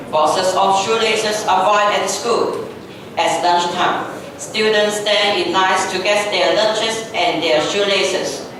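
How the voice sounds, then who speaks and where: A woman reading scripted narration for a readers' theatre story. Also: medium pitch. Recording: very echoey room, distant mic, noisy.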